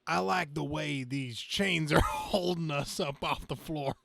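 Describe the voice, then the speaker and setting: A man's voice: on the high side, unhurried, vocal fry, fairly bright tone, no echo. A man co-hosting a podcast in slangy, casual, joking chat. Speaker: podcast co-host.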